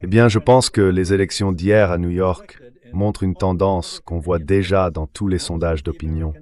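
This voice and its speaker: A man's voice: low voice, fairly even intonation, moderate pace, mellow. A synthetic male voice reading translated interview commentary, explaining things by posing questions and answering them. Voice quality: synthetic text-to-speech voice. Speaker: political and economic commentator, interview guest.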